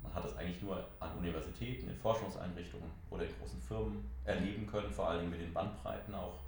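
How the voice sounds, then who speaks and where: Male interviewee, middle-aged. Strong room echo, deep register, thoughtful and hesitant conversational delivery. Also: steady tone, gravelly, darkish tone, slight background noise. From Germany, near Mainz. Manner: halting, reflective, with frequent hesitations. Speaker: interviewee, member of parliament and IT entrepreneur. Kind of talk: conversational interview answers, recounting own career. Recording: very echoey, reverberant room.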